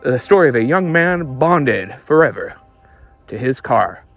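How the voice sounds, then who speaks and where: A man's voice: medium pitch, sing-song, a little slow, creaky, lo-fi sound, soft background music. A man playing a hard-boiled 1940s private detective in a radio noir parody, wisecracking in clipped old-time gumshoe slang. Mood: brooding, dramatic.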